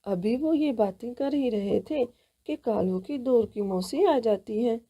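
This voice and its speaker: A woman's voice: fairly high pitch, slow pace, warm tone, highs cut off. A woman reading scripted narration for a cartoon story.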